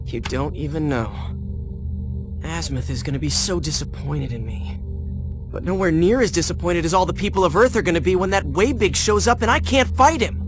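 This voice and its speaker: An actor playing a brash, earnest teenage boy hero in an animated video game, youthful and expressive. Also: a little high, brisk, lightly acted. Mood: dejected. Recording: music behind.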